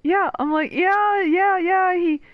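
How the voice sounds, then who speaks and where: A woman's voice: extremely high pitch, slow, dry recording. A woman hosting a game playthrough with casual commentary and wry, mocking asides. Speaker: gaming video host. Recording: dull lo-fi mic, game music behind.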